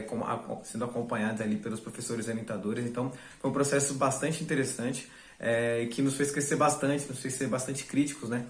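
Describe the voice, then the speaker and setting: Fast-talking man in an echoey room. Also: mid-range voice. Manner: reflective, repeats for emphasis. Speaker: student teaching assistant. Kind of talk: testimonial.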